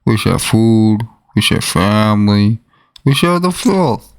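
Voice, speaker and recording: Guy doing a pushy cartoon alley pigeon, creaky gravelly voice, blunt and folksy buddy type. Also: on the low side, lively intonation, takes it slowly. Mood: stern. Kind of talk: animated short character voice.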